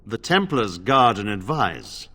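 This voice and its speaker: Stern knight commander, lively pitch. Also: male voice, slow pace, full character voice. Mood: formal, grateful. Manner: firm, formal. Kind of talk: dramatic video game acting. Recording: long hall reverb.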